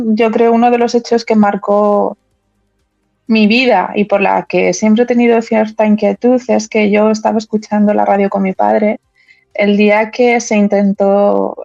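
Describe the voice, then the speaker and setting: A woman's voice: mid-range voice, creaky, a little mellow, no echo, highs cut off. A woman in her fifties from Madrid, answering interview questions as a political candidate, often repeating words and restarting her phrases. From Madrid, Spain. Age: 50s.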